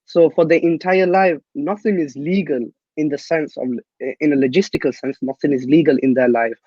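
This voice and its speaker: Young male guest from Myanmar, earnest and halting, somewhat high voice, dull and dry sound. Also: dark tone, breathy edge, no room sound. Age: young adult. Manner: earnest, halting, many restarts. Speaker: guest activist. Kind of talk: interview answers.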